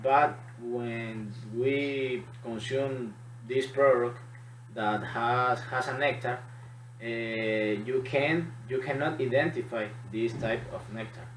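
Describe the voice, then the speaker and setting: A man from Honduras with a Honduran Spanish accent speaks as a student presenter in halting, non-native English. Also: mid-range voice. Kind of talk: classroom presentation. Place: echoey room. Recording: echoey room, distant mic, background noise.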